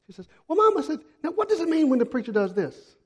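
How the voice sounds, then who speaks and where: A man preaching a sermon as a missionary, telling folksy travel stories, repeating phrases for emphasis and swinging from loud to hushed. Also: very high voice, sing-song, fast. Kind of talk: sermon with storytelling.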